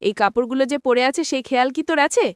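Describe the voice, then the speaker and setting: Woman acting a bullying stepmother, very high voice, harsh, taunting, cartoon villain, dry studio sound. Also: quick pace, dry recording, natural voice. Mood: angry scolding. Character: cruel, scolding stepmother, bullying and threatening.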